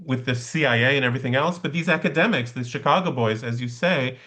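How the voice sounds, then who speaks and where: A man's voice: mid-range voice, fast, bright, forceful tone. Man teaching conversationally over a video call. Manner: explanatory, often checks with "right?". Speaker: lecturer leading a study session.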